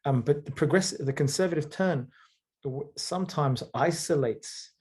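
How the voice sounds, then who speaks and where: A man from the UK, speaking thoughtfully in a conversational interview, often pausing with fillers and checking in with "right?". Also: fairly high pitch, a little mellow. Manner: thoughtful, hesitant, with frequent fillers and rhetorical "right?". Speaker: author and guest.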